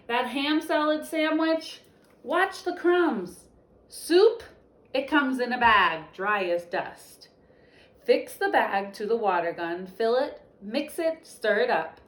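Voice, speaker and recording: A young woman teacher reading a picture book aloud to children, stopping for chatty explanations. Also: a little high. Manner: expressive storybook reading broken up by chatty explanations. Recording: echoey room.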